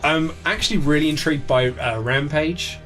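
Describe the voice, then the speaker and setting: A man's voice: on the high side, normal speed, fairly bright tone, faint music behind. A man chatting casually as an interview guest, animatedly quoting imagined reactions as he talks about films and games. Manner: chatty, acts out imagined quotes. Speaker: interview guest, writer and presenter.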